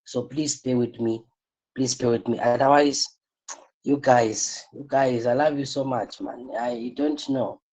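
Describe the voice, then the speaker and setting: Weary husky-voiced man, business coach in a live class, dark mellow tone. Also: medium pitch, fairly even intonation, a little slow, rough recording. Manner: repeats points, asks rhetorical "what?" questions. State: tired, voice strained.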